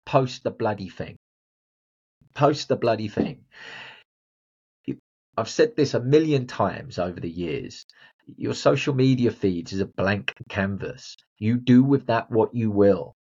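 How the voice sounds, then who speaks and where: A man's voice: on the low side, animated, measured pace, a little mellow, breathy edge, muffled recording. A man hosting a motivational solo podcast, talking bluntly and directly to the listener. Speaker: podcast host and confidence coach.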